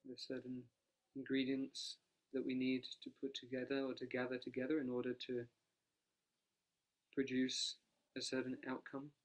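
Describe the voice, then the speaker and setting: Soft-spoken monk guiding a meditation. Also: male voice, medium pitch, completely flat delivery, poor audio. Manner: soft-spoken, quiet, unhurried. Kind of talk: guided analytical meditation. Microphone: no dedicated microphone.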